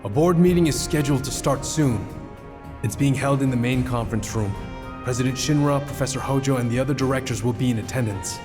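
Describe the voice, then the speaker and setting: An actor playing a world-weary, pragmatic corporate insider in a video game cutscene. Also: male voice, medium pitch, a little fast, in a large hall, music in the background, natural voice. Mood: businesslike, wary.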